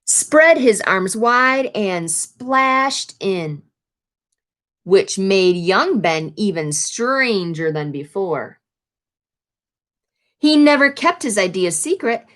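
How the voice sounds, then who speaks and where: A woman with a mid-range voice reads a story aloud for young children. Her pace is unhurried, with expressive emphasis on key words. She moves between storytelling and simple, instructive explanation. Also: mid-range voice. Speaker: reader of a children's picture book, teaching.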